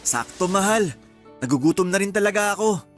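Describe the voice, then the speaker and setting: A man with a Filipino accent voicing a relaxed, cheerful young husband in a radio drama. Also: high voice, fairly quick, soft background music. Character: young husband, hardworking and easygoing.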